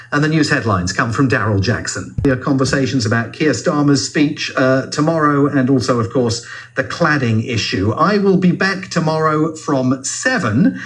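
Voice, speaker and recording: Guy hosting a radio phone-in, medium pitch, doing links and time checks. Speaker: radio talk show presenter. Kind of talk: live phone-in link, reading contact numbers and time checks.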